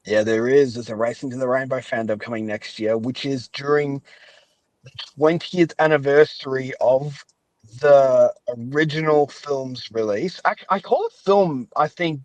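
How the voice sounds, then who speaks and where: A man's voice: mid-range voice, dry recording, low-quality recording. A man hosting a podcast in casual chat, talking in an enthusiastic, rambling, chatty way. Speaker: podcast host and fan-dub maker.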